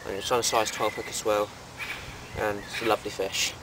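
A man's voice: fairly low pitch, a little fast, distant mic, noisy background. A young man plainly describing the fish he has caught, filmed outdoors by a pond on a handheld camera. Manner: flat, plain, describes his catch. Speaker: angler vlogger. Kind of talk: casual fishing commentary.

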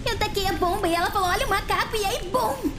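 Woman as a very high-pitched naive little girl. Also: quick pace, over a noisy crowd. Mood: earnest. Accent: Brazilian. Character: young girl, naive, chatty little sidekick. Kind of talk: comedic cartoon fan dub.

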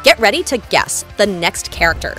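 A woman's voice: mid-range voice, sing-song, moderate pace, over music. A woman hosting a quiz, reading short scripted questions and answers. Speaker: quiz host.

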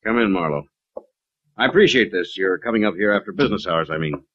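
A man acting a grim, troubled husband in a 1940s radio drama, speaking bluntly and urgently with some halting. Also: low voice, lively intonation, fairly bright tone, husky, full character voice. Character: grim, brusque, troubled husband hiring a detective. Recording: dull vintage 1940s radio recording.

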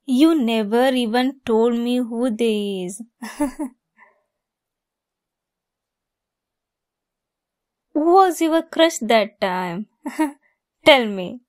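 A woman's voice: on the high side, no room sound, clean studio sound. A woman acting a giggly, teasing young woman fond of a longtime friend, in a romantic ASMR roleplay. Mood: teasing, playful.

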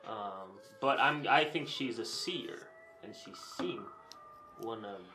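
A soft-spoken young man chatting casually and hesitantly while playing a board game. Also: mid-range voice, lively intonation, takes it slowly, faint music behind. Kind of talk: casual chat during a deduction game. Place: small tiled room, indoors. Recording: roomy sound, faint background noise.

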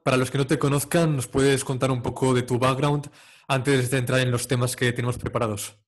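Measured male podcast host. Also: medium pitch, level tone. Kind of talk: scripted show introduction. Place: home room with shelves. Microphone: studio mic on stand.

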